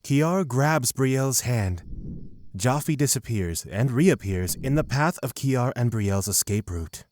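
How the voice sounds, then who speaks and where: A man's voice: mid-range voice, lively intonation, slow pace, darkish tone, a little hiss or hum. A man narrating a scripted fantasy audio drama.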